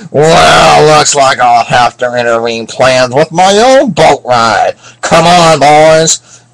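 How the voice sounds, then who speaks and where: A man's voice: on the high side, slow, creaky, fairly bright tone, full character voice, low-quality recording. A man voicing a scheming male villain who gloats and chuckles as he plots. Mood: gloating.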